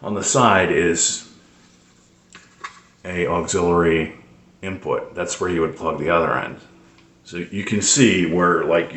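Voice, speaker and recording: A man giving casual, unscripted product tips as a hobbyist presenter, explaining in a hesitant, halting way. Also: low voice, fairly bright tone, roomy sound.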